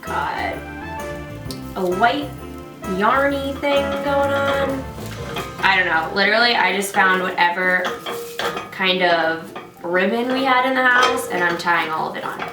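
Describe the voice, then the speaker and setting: A woman's voice: medium pitch, unhurried, pauses often. A young woman with a stuffed-up, nasal voice, joking her way through a casual kitchen tutorial. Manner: bubbly and jokey. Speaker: vlogger. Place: home kitchen, loud stove. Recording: roomy, mic at a distance, music behind.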